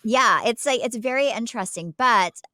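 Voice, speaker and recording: A woman's voice: a little high, moderate pace, pushed and bright, no echo, clean studio sound. A woman co-hosting a podcast in casual, chatty style, emphatic and given to repeating words for stress. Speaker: podcast co-host. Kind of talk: casual chat.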